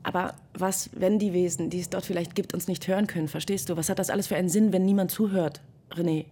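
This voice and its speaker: A woman playing a lonely astronaut drifting off to sleep, talking softly and half-whispering in a searching way. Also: on the low side, steady tone, quick pace, slight background noise, close to an everyday voice. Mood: anxious. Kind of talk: German radio drama acting.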